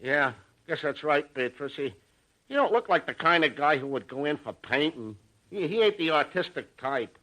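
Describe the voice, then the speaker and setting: A frazzled department store salesman, high and sharp in voice. He is a fretful, stammering, long-suffering husband in a 1950s radio comedy. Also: sing-song, normal speed, low-quality recording. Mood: subdued, hopeful. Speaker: comic actor.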